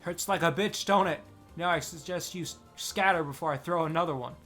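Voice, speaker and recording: A man's voice: medium pitch, moderate pace, fairly bright tone, soft background music, close to an everyday voice. A man voicing a tiny, vulgar, fierce old grandmother with a coarse, snarling way of talking. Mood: angry, mocking. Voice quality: coarse, snarling, rural grammar.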